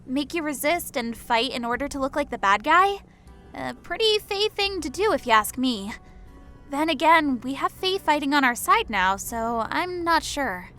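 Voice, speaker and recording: A man's voice: very high voice, expressive, moderate pace, sharp tone, faint music behind, pitched above the performer's own voice, heavily acted. A man voicing a casual, chatty young woman soldier in an audiobook, musing frankly. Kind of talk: audiobook character voice.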